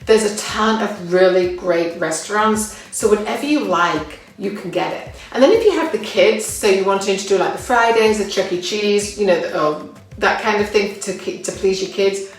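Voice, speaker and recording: A woman's voice: mid-range voice, moderate pace, far from the mic, soft background music. Chatty English woman in an echoey room. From England. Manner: chatty and upbeat, with fillers and restarts. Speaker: local living guide. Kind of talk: casual talk to camera.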